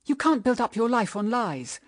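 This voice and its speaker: Stern English mother, very animated. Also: on the high side, normal speed, no room sound, clean studio sound. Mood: stern urging. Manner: emphatic, reproving, formal diction. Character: stern, moralising mother of a grown daughter. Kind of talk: period radio drama acting.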